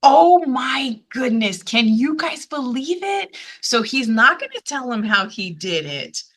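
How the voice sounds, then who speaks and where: High bright animated woman reading to children. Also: slow pace. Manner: expressive, emphatic, addressing young listeners with questions. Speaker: children's storytime host reading aloud. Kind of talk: animated read-aloud storytelling for children.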